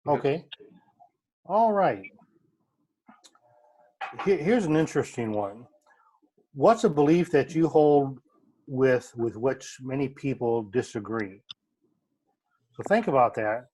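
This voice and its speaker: A man leading a club meeting session in a friendly, halting way, with many fillers and repeats. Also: medium pitch, animated, darkish tone, poor audio.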